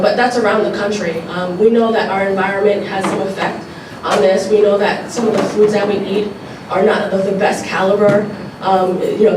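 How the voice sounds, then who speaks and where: Stuffy woman politician talking to a crowd, lots of ums, far off mic in a big echoey room with noise. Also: mid-range voice. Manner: informal, filler-heavy, repeats phrases. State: stuffy from allergies. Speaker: assemblywoman. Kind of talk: public forum speech. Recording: echoey hall, distant mic, noisy.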